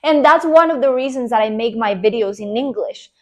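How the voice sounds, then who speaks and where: A woman's voice: a little high, fairly quick, darkish tone. A young woman from Colombia with a Colombian Spanish accent, Spanish her first language, coaching viewers on online careers in an earnest, encouraging talk to camera. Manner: earnest, encouraging, emphatic with repeated promises.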